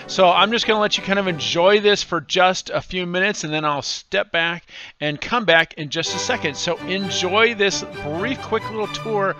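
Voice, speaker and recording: A man's voice: high voice, moderate pace. A middle-aged man hosting a travel vlog, narrating in an upbeat, enthusiastic way. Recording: dull lo-fi voice-over track. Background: classical music.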